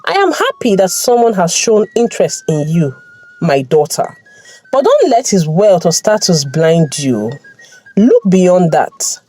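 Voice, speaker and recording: A woman's voice: lowish voice, sing-song, measured pace, dark tone, soft background music, rough recording. Woman as a curious, caring mother. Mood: caring advice. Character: curious, wise, caring mother of a grown daughter.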